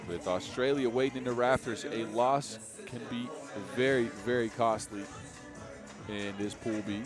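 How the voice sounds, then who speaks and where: A man with a Dutch accent giving fast live basketball play-by-play, his energy rising with each play. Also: medium pitch. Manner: energetic, rising with the action, self-mocking asides. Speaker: play-by-play commentator. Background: music.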